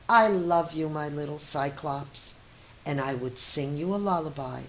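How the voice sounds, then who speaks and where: Slow-paced woman reading a storybook aloud. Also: low voice. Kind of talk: expressive read-aloud storytelling. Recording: roomy echo with faint hiss.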